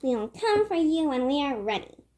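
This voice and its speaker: A woman's voice: high voice, big pitch swings, moderate pace, warm tone. A woman voicing a cold, scolding, selfish stepmother in a fairy tale, bossy and scornful. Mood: cold, scheming.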